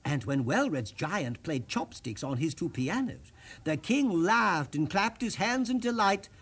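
A man hosting as storyteller, reading a children's storybook expressively with theatrical, dramatic pauses. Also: a little high, very animated, fairly bright tone, husky. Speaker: storyteller, TV host.

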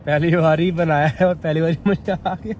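A man's voice: a little high, fairly even intonation, fairly quick, darkish tone, soft background music. A young man chatting casually and informally to camera as a solo travel vlogger, outdoors. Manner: informal, bro-peppered talk. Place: outdoors at a mountain campsite.

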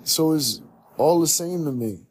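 Earnest man with a dark husky voice. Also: fairly high pitch, expressive, a little slow. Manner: earnest, repetitive, preachy. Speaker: podcast host. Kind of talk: reflective monologue. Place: small room indoors.

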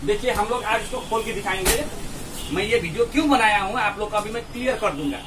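A high-pitched man from India explains a product casually to camera, often checking that he is understood. He sounds a little way from the mic in a small indoor shop, with plenty of noise around him. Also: fast talker. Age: 30s. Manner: explanatory, repeatedly checking with catchphrases like a tag question. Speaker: product reviewer and vlogger. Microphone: camera or phone mic a short distance away. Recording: noisy shop recording, distant mic.

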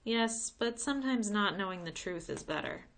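A woman reading the part of a folksy, gently firm grandmother in a children's storybook. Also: mid-range voice, animated, moderate pace, pitched above the performer's own voice. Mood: reminiscing. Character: warm, weary grandmother telling of old times.

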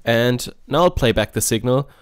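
A man hosting a software tutorial in a casual, offhand, informal way. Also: mid-range voice, lively intonation, normal speed, smooth, no room sound. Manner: informal, offhand, mildly sweary. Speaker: music software tutorial host. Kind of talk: casual screen-recorded tutorial.

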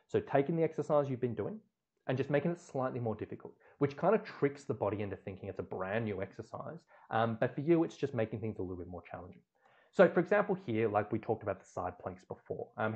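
A man's voice: lowish voice, lo-fi sound. A man in his thirties teaching a slide lesson as a strength coach, chatty and repeating words for emphasis, recorded on a webcam indoors. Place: indoor room, webcam in a corner circle.

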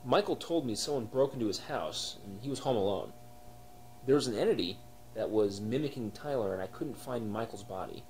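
A man playing a shaken, solemn police officer in an analog horror drama, speaking in a halting, matter-of-fact way. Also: animated, darkish tone, lightly acted. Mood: questioning, solemn. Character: grim, shaken male police officer investigating monsters. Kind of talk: analog horror found-footage acting. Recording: noisy, rumbling amateur recording.

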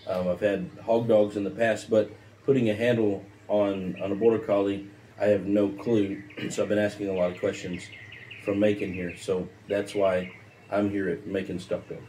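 A man's voice: lowish voice, flat delivery, moderate pace, dark tone, slight background noise. A man in his thirties casually introducing himself as a beginner dog owner, plain and modest, seated on an outdoor patio.

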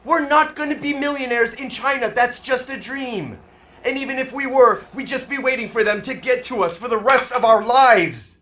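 A man's voice: very high voice, normal speed, pushed and bright. An actor playing a resigned, earnest man on the run, pleading with his lover in an audio drama. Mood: agitated, pleading.

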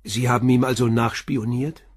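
An actor as a quiet, husky police inspector. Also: male voice, mid-range voice, fairly even intonation, normal speed, no echo, clean studio sound, natural voice. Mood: probing. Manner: brief, quiet, probing questions. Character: calm, probing police inspector. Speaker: detective questioning a witness. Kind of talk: radio drama acting.

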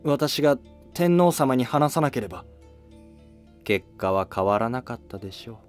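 A man's voice: medium pitch, expressive, moderate pace, faint music behind, natural voice. A man playing a young prince of old Japan, polite and troubled. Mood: remorseful. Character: apologetic young Heian prince.

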